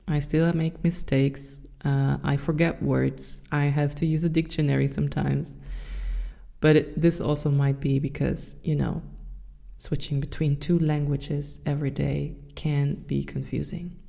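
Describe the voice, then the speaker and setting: Slow, low-voiced woman with a German accent. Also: flat delivery, creaky. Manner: slow, soft and thoughtful, with hesitant fillers. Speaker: podcast host. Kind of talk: reflective solo podcast talk.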